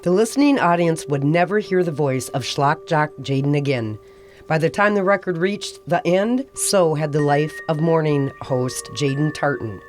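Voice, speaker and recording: A man playing a solemn mystery narrator, giving measured, ominous scripted radio drama narration. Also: on the high side, lightly acted. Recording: music under the narration. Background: music.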